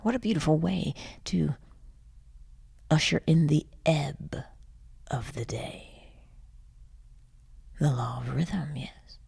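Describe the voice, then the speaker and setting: A woman leading a guided sleep meditation in a soothing, hushed and breathy voice, leaving long pauses. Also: low-pitched, dry recording, studio quality. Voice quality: breathy, husky.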